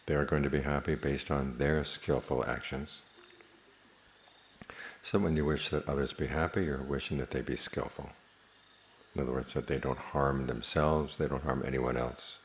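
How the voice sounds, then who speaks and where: Very deep-voiced man guiding meditation. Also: fairly even intonation, slight background noise. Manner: measured, plain-spoken, with long pauses. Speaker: meditation teacher. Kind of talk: guided meditation instruction.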